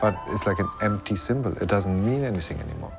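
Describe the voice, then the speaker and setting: Man, deep voice, conversational interviewee, clear background music. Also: lively intonation, quick pace.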